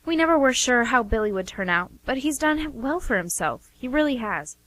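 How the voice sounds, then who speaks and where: A woman's voice: on the high side, big pitch swings, moderate pace, a bit bright, dry recording. A woman playing an anxious, chatty sister who speaks in a hesitant, earnest ramble in a radio play. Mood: worried, secretive. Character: anxious, chatty sister defending her wayward brothers. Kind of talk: amateur radio play dialogue.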